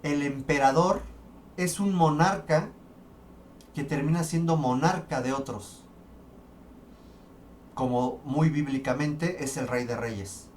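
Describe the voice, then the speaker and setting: A man's voice: fairly high pitch, slow, pauses often, darkish tone. A man giving a recorded history lesson, explaining step by step with fillers and restarts. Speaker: history lecturer. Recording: distant mic, faint background noise.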